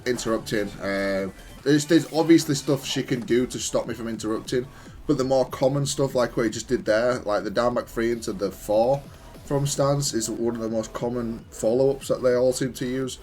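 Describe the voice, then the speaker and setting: A man's voice: mid-range voice, normal speed, a little mellow, soft background music. A man giving off-the-cuff commentary on his own fighting game replays, explaining things in a hesitant, stop-start way. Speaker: gamer analysing own matches.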